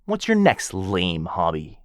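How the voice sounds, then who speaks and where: A man's voice: low voice, huge pitch swings, slow, no room sound, studio quality, heavily acted. A man voicing a cocky, jealous werewolf who mocks his rival and teases with a lot of bounce. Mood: boastful. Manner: cocky, mocking, bouncy teasing. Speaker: voice actor in boyfriend roleplay. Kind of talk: ASMR roleplay acting.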